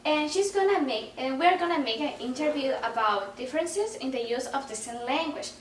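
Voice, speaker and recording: A woman's voice: high-pitched, lively intonation, moderate pace. A woman conducting an interview, reading questions in a hesitant way in a dim room at home. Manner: hesitant, with fillers and restarts. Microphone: far camera. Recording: echoey room, distant mic, faint noise.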